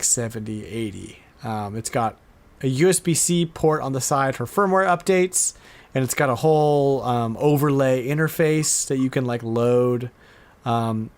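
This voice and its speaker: A man hosting a podcast, chatting casually and informally, often halting and repeating words as he goes. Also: medium pitch. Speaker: podcast host. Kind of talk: casual chat about retro game news.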